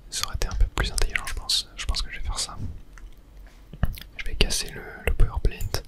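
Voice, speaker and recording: Breathy whisper, ASMR gameplay commentary, unvoiced, murmured thinking aloud, streamer.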